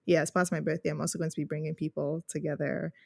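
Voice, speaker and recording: A woman's voice: deep voice, flat delivery, racing, creaky, mellow, dry recording, clean studio sound. A woman from Ghana giving conversational, reflective answers as a podcast guest. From Ghana, grew up in Accra. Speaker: podcast guest, user experience researcher.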